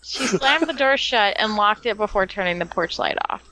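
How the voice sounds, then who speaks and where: A woman's voice: medium pitch, lively intonation, moderate pace, sharp tone, poor audio. A woman co-hosting a podcast, chatting casually and reading a story aloud. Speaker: podcast co-host.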